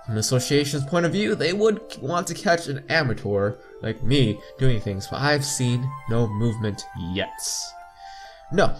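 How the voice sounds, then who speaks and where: A young man with a mid-pitched voice narrates a visual novel playthrough over soft background music. He reads the text informally, trips over words and corrects himself, and drops in casual asides. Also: lively intonation, a little slow. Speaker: playthrough host. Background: game music.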